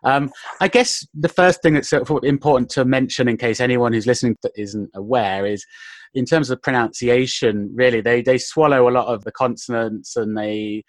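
A man's voice: mid-range voice, fairly even intonation, normal speed, no room sound. Curious, eager male podcast host. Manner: eager, curious questioning with frequent fillers. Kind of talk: casual interview chat. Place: based in Madrid.

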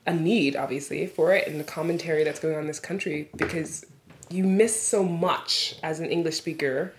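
New woman hosting a chat, lowish voice, lots of encouraging yeahs and laughs. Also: steady tone, moderate pace, noisy background. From New York, USA. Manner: warm, affirming, laughs often. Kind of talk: casual interview chat.

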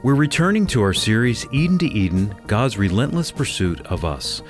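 Animated man introducing a radio program over music. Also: medium pitch, normal speed. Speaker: radio show announcer and co-host.

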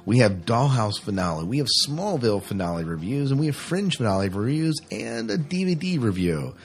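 A man's voice: mid-range voice, sing-song, fairly quick. A man hosting a podcast in casual chat, rambling along in a jokey way. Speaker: podcast host.

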